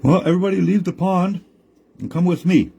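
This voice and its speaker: A storyteller lifts his voice high to play a sly coyote trickster, all easygoing charm and coaxing reassurance. His voice goes up well above his usual register but keeps a mellow, warm tone. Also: a little fast, gravelly, dull audio, a little hiss or hum. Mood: scheming. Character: sly smooth-talking male coyote trickster.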